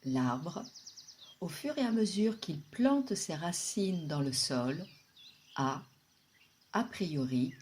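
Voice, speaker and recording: A woman guiding a deep relaxation meditation in a calm, soothing, unhurried way, with long pauses. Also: slight background noise. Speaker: yoga nidra guide.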